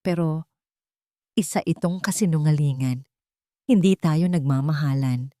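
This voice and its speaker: A woman storyteller voicing a wary, hesitant woman in an audio love story, disbelieving and hushed. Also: low-pitched, measured pace, no echo, studio quality. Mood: doubtful. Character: stunned, wary woman reluctantly agreeing to marriage.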